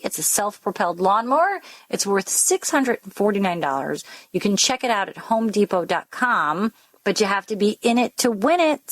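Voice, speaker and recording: A woman co-hosts a home improvement call-in radio show in a dry studio sound. She is chatty and upbeat with guests, and she reads the promos smoothly at a middle pitch. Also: no room sound. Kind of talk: radio hosting and interviewing.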